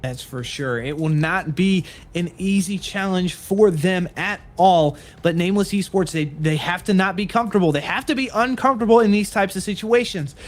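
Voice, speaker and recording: A man's voice: high voice, normal speed, few pauses. A man casting a live esports stream, talking in earnest, repetitive hype with some fillers. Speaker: esports caster.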